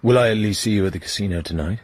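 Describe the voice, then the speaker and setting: An actor playing a smooth, teasing suitor in a radio drama, deep and very husky, with a flirtatious manner. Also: male voice, rapid-fire, a little mellow, natural voice.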